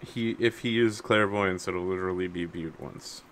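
Bright-voiced young man running a game. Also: low voice, normal speed. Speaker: game master. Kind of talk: running a tabletop game over video call.

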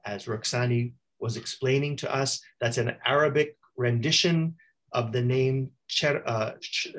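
Husky-voiced male academic presenter. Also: medium pitch. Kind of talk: explanatory academic slide presentation. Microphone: video call.

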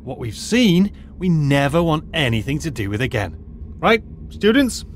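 A man's voice: fairly high pitch, very animated, moderate pace, a little hiss or hum. A man playing a middle-aged retired archaeologist turned teacher who lays things out in a precise, lecturing way. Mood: tense. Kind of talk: audio drama acting.

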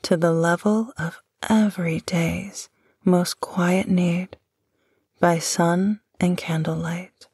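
Slow, husky woman, gentle and amused. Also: fairly low pitch, steady tone, dry recording, clean studio sound. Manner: gentle, affectionate, dryly amused. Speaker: voice actor. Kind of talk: intimate audio roleplay.

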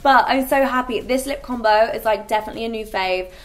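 A woman's voice: a little high, fast talker. A young woman, chatting casually in a get-ready-with-me vlog and filling her talk with "like". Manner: chatty, filler-heavy with frequent "like". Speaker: beauty vlogger. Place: bright living room set.

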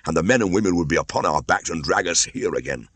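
A man's voice: low voice, lively intonation, quick pace, a bit bright, no echo. A man reading a storybook aloud, voicing a sullen village husband.

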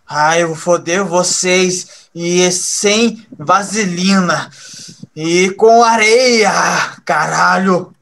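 An actor playing a resentful young male hacker in an audio drama, halting at times. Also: high voice, fairly bright tone, exaggerated voice. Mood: seething. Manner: halting, repeats words when pressed.

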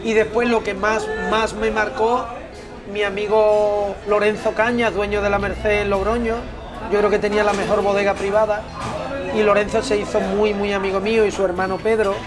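A man in his fifties, a former footballer, talking in an unhurried, reflective way over lunch in a restaurant and often repeating words as he searches for them. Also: sits high, little pitch movement, over music. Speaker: interview guest, former footballer. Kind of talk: relaxed conversation over a meal. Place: restaurant by large windows.

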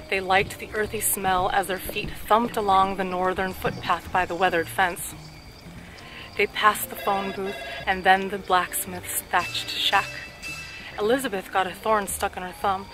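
Music plays clearly behind a woman reading a story aloud. Her voice sits at a medium pitch for a woman. She delivers the scripted text deliberately, articulating each word with care, as a storyteller for speech practice. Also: low-quality recording. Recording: clear background music under the voice.

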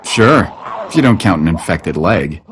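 A man's voice: low voice, lively intonation, a little slow. An actor playing an easygoing, probing male survivor in a zombie video game, casual and dry.

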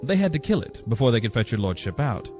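An actor playing a formal, deferential solicitor serving an English lord in an audio drama. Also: male voice, mid-range voice, big pitch swings, lightly acted. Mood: grave, discreet. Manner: formal, obsequious, measured. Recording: muffled lo-fi audio with music.

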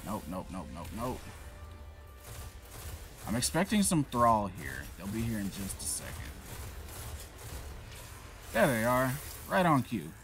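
A man's voice: fairly low pitch, wildly swooping pitch, slow, long pauses. A man giving casual gameplay commentary, talking to the enemies on screen and trash-talking them with plenty of swearing. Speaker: gaming channel host. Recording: voice over loud game music.

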